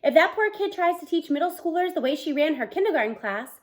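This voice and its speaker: A woman in her thirties reading a novel aloud in an expressive, storytelling style, acting out the dialogue. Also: very high-pitched, quick pace. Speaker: read-aloud book reader. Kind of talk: expressive children's audiobook-style read-aloud. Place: small room at home, indoors.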